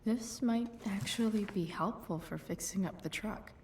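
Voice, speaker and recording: A woman's voice: mid-range voice, moderate pace, a little mellow, slight background noise, natural voice. A woman with an American accent voicing a headstrong, irritable teenage girl in a video game, terse and slangy. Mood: focused muttering. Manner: muttering to herself, terse, slangy. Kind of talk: naturalistic game cutscene acting.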